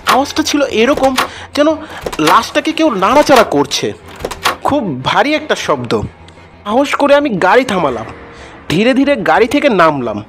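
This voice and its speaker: A man's voice: high-pitched, very animated, normal speed, pauses often, fairly bright tone. A man narrating a first-person horror story in a suspenseful, dramatic way, as a frightened and weary ambulance driver. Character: frightened, weary male ambulance driver telling his tale. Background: music.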